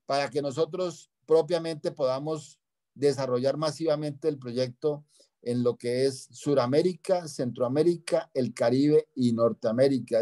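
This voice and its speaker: A man, hosting a formal online presentation in a deliberate, didactic way with rhetorical questions. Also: medium pitch, mellow. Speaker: project presenter and meeting host. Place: indoors, video call.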